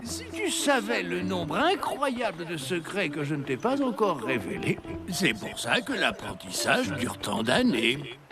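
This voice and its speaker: A kindly old Father Christmas from a children's cartoon, a theatrical mentor. His voice sits high for a man and swings animatedly up and down, with an avuncular, explaining manner. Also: very animated, unhurried, vocal fry, over music, exaggerated voice. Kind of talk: French children's cartoon acting.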